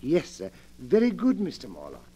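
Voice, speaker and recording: An actor playing a polite, formal manservant with a sly streak, in 1940s radio drama style. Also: male voice, a little high, huge pitch swings, moderate pace, warm tone, breathy edge, full character voice. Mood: slyly insistent.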